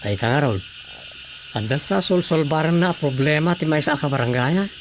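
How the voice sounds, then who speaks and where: An actor playing a concerned, grumbling village councilor in a radio drama comedy, with a Filipino accent. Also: male voice, a little high, expressive, normal speed, natural voice. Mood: concerned, curious. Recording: faint background noise.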